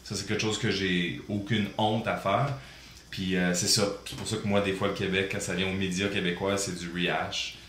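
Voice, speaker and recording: A man's voice: low-pitched, flat delivery, normal speed, a bit bright. A man from Ontario co-hosting a podcast, chatting casually and hesitantly, often repeating words as he thinks. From Ontario, Canada. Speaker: podcast co-host. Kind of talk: casual chat. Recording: echoey room, faint background noise.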